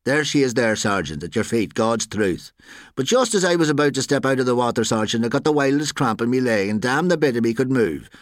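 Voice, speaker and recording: A man's voice: mid-range voice, expressive, fairly quick, dry recording, studio quality, voice pushed up, lightly acted. A man with an Irish accent voicing a plump, wily poacher who talks in a voluble, emphatic, folksy way, in a story reading. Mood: earnest pleading. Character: plump, wily male poacher spinning tall tales.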